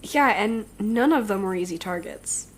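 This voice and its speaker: A woman's voice: mid-range voice, animated, normal speed, slight background noise, natural voice. A woman playing a blunt, jaded young female villain in an audio drama, irritable yet natural in delivery. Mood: irritated.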